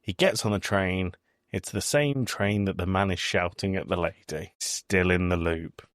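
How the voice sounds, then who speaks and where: A man's voice: low-pitched, unhurried, a bit bright, no room sound, clean studio sound. A man co-hosting a podcast, chatting casually about a film. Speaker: podcast co-host.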